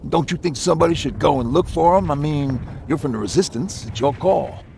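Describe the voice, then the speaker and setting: An actor playing an anxious, earnest leader of a survivor group in a video game, chatty and urgent. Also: male voice, a little high, a little fast, vocal fry, darkish tone, a little hiss or hum. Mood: anxious, pleading. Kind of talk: cinematic video game acting.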